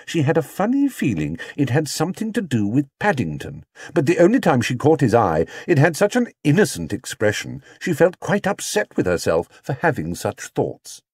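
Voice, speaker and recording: A man's voice: mid-range voice, wildly swooping pitch, normal speed, hardly any pauses, darkish tone, breathy edge, no echo, clean studio sound. A man reading a scripted children's story as an audiobook narrator.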